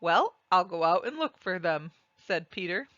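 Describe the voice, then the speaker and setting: A woman reading a children's storybook aloud and describing its pictures, with deliberate, expressive phrasing. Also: lowish voice, dry recording, dull audio. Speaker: read-aloud narrator.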